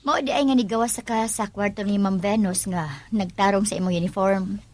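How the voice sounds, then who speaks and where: A woman's voice: medium pitch, fairly even intonation, a little fast, a little mellow, lightly acted. A woman acting a coy, probing female character in a radio drama, with a Cebuano accent. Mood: suspicious, gossipy.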